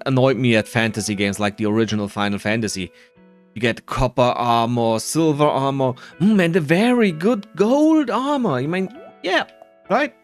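A man giving casual, rambling commentary on his own gameplay, with muttered, self-deprecating asides. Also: a little high. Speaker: gamer narrating his playthrough. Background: faint game music.

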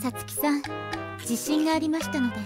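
A woman's voice: high-pitched, fairly even intonation, fast, lightly acted. An actress playing a polite, earnestly blunt young female teacher in an anime audio drama, matter-of-fact in manner. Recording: music behind the voice.

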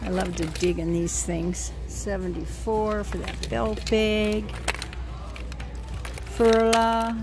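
A woman's voice: medium pitch, little pitch movement. A woman vlogging as she shops in a retail outlet, musing aloud and reading off prices as she browses, filmed on a handheld phone. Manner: slow, musing price read-outs while browsing. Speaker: shopping vlogger. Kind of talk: casual shop-with-me narration. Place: retail outlet store, indoors. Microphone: handheld phone camera. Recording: store music behind.

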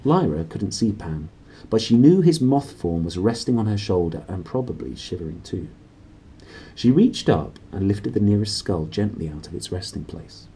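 A man reads a children's story aloud in a soft-edged, husky voice. His pitch sits fairly low but moves widely as he leans into dramatic emphasis. The tone stays mellow. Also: big pitch swings, moderate pace. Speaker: storybook reader. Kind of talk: expressive read-aloud of a children's novel. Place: small cluttered room indoors.

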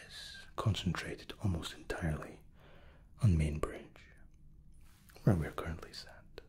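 Whispering man, slow ASMR roleplay. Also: low-pitched. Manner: soft, slow, courteous attentive steward. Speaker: ASMR roleplay performer as spaceship flight attendant.

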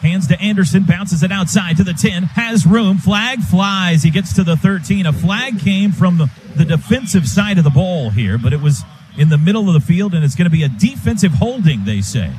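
Male radio football announcer, high voice, clipped phrasing, stadium noise faintly behind. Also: expressive, a little mellow. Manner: clipped, rapid-fire phrases. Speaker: play-by-play announcer. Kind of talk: live radio football commentary. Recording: noisy stadium broadcast.

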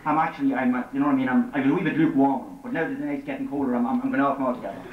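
A man's voice: medium pitch, rapid-fire, natural voice. A man with an Irish accent playing a blustering committee chairman who rambles and stammers in an amateur pub comedy sketch. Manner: rambling, stammering, laughing through lines. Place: crowded pub. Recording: muffled, echoey, from far off with loud crowd and noise.

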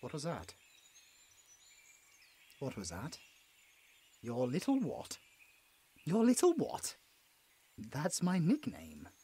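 Man acting a jittery, high-voiced British monster hunter. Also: wildly swooping pitch, takes it slowly, pauses often, mellow, husky, exaggerated voice. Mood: subdued. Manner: stammering, pleading, with muttered sarcastic asides. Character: frightened, sarcastic injured young monster hunter, placating his captor. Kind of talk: audio roleplay acting.

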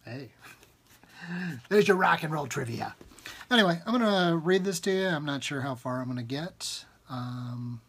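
Higher-pitched husky man reading aloud. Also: animated, measured pace, a bit bright. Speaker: author reading own fiction aloud. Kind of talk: expressive literary reading, informal. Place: small book-lined room at home, indoors.

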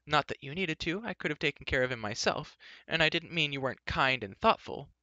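A man's voice: fairly high pitch, lively intonation, fairly quick, bright, forceful tone, no echo, highs cut off, voice pushed up. A man doing a chattering young heroine. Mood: teasing. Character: lively, outspoken young woman.

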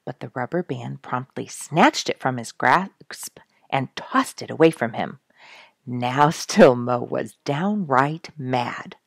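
Middle-aged female story reader, husky with creaky edges, deliberate and emphatic. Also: a bass voice, dry recording, clean studio sound. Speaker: storyteller reading a book aloud. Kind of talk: expressive storybook narration. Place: small quiet room indoors, seated in an armchair.

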